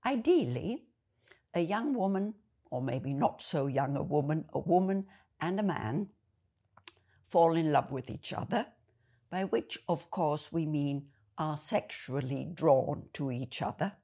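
A woman with a German accent, a native German speaker, giving a slow, deliberate explanatory talk. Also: low voice, lively intonation, warm tone, breathy edge. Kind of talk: measured spoken commentary.

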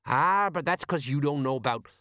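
Storybook police sergeant, read by a man: self-important, slow-witted old hand, colloquial lecturer, raised pitch, dry close recording. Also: lively intonation, sharp tone, no room sound. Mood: smug, knowing.

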